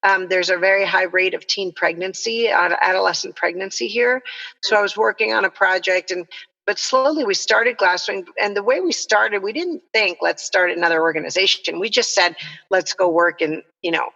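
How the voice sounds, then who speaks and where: Middle-aged woman from el salvador chatting as a podcast guest, bright voice w/ some vocal fry, earnest, kinda muffled reverby call. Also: mid-range voice, normal speed. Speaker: nonprofit co-founder, podcast guest. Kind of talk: conversational interview storytelling.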